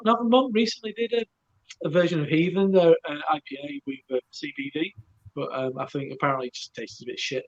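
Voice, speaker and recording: A man with a slightly high voice chats casually on a live stream. His talk comes in quick offhand bits that often trail away, and the sound is dull and muffled. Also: steady tone.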